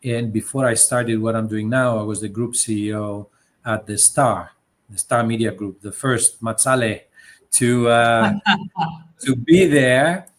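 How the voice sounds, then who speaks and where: A man's voice: mid-range voice, fairly even intonation, measured pace. Middle-aged man. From Greece. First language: Greek. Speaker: guest, leadership coach. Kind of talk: conversational interview storytelling.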